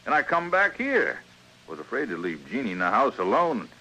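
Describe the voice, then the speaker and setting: An actor playing an anxious, protective American frontier blacksmith in an old-time radio western, earnest and wary. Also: male voice, medium pitch, huge pitch swings, normal speed, bright, forceful tone, exaggerated voice, low-quality recording. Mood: protesting, pleading. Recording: vintage radio recording, faint noise.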